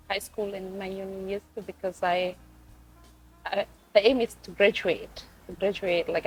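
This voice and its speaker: A woman's voice: medium pitch, a little mellow, rough recording. Young woman telling her story over music. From Fiji. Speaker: podcast guest, postgraduate student. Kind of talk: personal account in an interview. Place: couch in a room.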